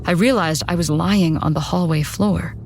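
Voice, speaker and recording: A woman's voice: lowish voice, moderate pace, a little mellow. Woman narrating horror with music behind. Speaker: story narrator. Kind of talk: dramatic first-person horror story narration. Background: background music.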